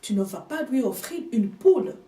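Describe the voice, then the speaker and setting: A woman whose first language is not French, preaching a sermon with slow, emphatic delivery and hushed asides. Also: fairly high pitch, lively intonation, distant mic. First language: another language than French. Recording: echoey room, speaker off mic.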